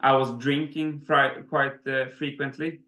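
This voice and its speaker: A man's voice: medium pitch, level tone, unhurried, sharp tone. A man from Sweden talking as an interview guest, hesitant, with many restarts and fillers. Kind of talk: casual interview. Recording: roomy echo.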